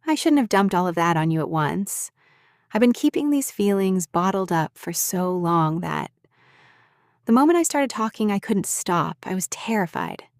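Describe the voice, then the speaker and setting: Casting: woman to play clingy, jealous young female roommate, lovestruck; lowish register, halting confessional delivery, very dry intimate ASMR sound. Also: a little mellow, no echo, close to an everyday voice. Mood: vulnerable confession. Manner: halting, confessional, addressing the listener directly. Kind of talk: intimate ASMR roleplay acting.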